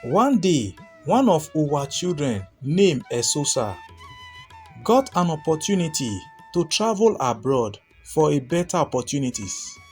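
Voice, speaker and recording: A man tells a scripted folktale in a fairly high voice. His pitch moves in very wide, animated swings as he narrates. Music plays faintly behind him. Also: a little slow. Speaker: storyteller, narrator.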